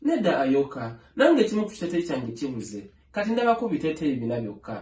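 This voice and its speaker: Female story reader for young children. Low register with very varied intonation, recorded in a roomy, echoing space. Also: big pitch swings, quick pace, dark tone. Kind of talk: children's read-along storytelling in translation. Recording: echoey room with faint noise.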